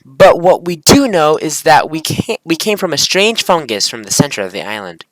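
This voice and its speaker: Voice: mid-range voice, sing-song, moderate pace, no echo, low-quality recording. A video creator reading their own script aloud, stumbling and correcting themselves, with casual slangy asides. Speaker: video creator and amateur visual novel maker.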